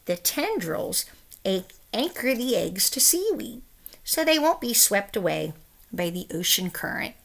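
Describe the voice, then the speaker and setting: A woman's voice: mid-range voice, sing-song, measured pace, gravelly, fairly bright tone. A middle-aged woman reading a children's picture book aloud, with careful, emphatic phrasing for young listeners. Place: small room at home, indoors.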